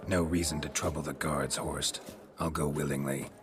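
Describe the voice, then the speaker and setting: An actor playing a gruff, weary, sardonic monster hunter in a video game, speaking in a dry, blunt way. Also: male voice, a bass voice, steady tone, normal speed, a crowd in the background. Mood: calm, insistent. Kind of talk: video game cutscene acting.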